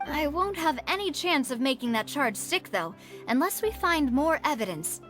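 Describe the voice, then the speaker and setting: High-voiced, poised young lady. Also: sing-song, normal speed, a bit bright, faint music behind. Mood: determined. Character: poised, determined young lady leading an investigation. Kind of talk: video game voice acting.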